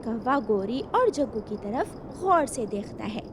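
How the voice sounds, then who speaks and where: A woman's voice: fairly high pitch, very animated, measured pace, noisy background. A woman narrating a children's cartoon story as a scripted storyteller.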